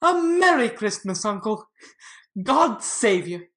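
A woman voicing a cheerful, earnest, warm-hearted young nephew in a storybook reading. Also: high-pitched, big pitch swings, unhurried, voice pushed up, exaggerated voice. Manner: bright-spirited, pleading, earnest. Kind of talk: audiobook character voice.

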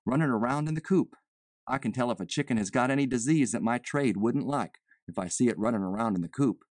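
A woman's voice: extremely low pitch, fairly quick, a little mellow, dry recording, clean studio sound, pitched below the performer's own voice. A woman voicing a nervous, evasive man who poses as a chicken buyer, with folksy, ungrammatical, rambling talk. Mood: urgent, insistent.

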